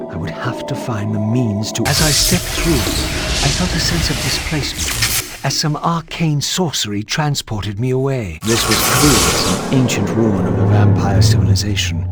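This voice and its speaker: An actor playing a brooding undead wraith who narrates in a grave, formal, eloquent and theatrical manner for a game. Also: male voice, fairly low pitch, natural voice. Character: brooding undead male wraith, once a vampire, grave and resolute. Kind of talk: dramatic scripted game narration.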